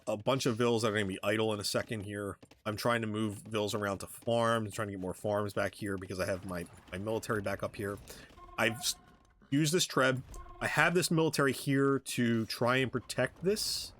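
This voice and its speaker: A man giving casual, halting commentary on his own strategy game match, thinking aloud as he plays. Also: on the low side, fairly even intonation, a bit bright, a little hiss or hum. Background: background music.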